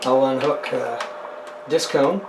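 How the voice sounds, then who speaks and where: A man's voice: mid-range voice, slow pace, vocal fry. Male hobbyist host, casual and hands-on, talks through his tinkering, audible background noise, roomy acoustic. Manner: thinks aloud while tinkering. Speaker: host of a radio hobby video. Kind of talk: casual hands-on demonstration, talking to camera.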